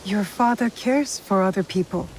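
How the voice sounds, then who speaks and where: A woman's voice: mid-range voice, moderate pace, a little hiss or hum, natural voice, poor audio. A woman playing a determined young avenger in a video game, speaking in a direct, controlled, probing way. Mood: calm, reserved. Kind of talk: video game cutscene acting.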